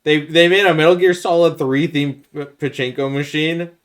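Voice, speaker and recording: A man's voice: fairly high pitch, steady tone, moderate pace, smooth. A man co-hosting a podcast, chatting casually and giving his opinions on video games in an informal way. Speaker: podcast co-host.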